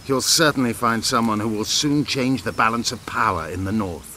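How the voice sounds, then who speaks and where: An actor playing a proud, bitter elven rebel commander in a fantasy game, grave and declamatory. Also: male voice, medium pitch, moderate pace, sharp tone, a little hiss or hum, natural voice. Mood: commanding. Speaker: video game character actor. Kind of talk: game dialogue acting.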